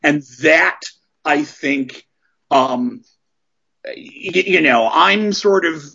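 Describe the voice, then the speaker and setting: A man in his forties with a husky, somewhat higher voice, rambling loosely through a casual podcast discussion. He doubles back and fills the pauses with ums. The sound is muffled and lo-fi. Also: animated, pushed and bright. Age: 40s. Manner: rambling, many fillers and restarts.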